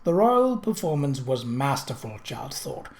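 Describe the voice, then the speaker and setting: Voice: medium pitch, big pitch swings, normal speed, darkish tone. A narrator reading a period mystery novel aloud as a scripted audiobook. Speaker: audiobook reader.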